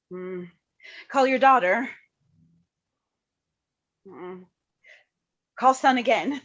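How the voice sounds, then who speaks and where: Stage reading: dutiful, worried mother, played by an actress, fairly high voice, muffled lo-fi sound. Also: fairly bright tone.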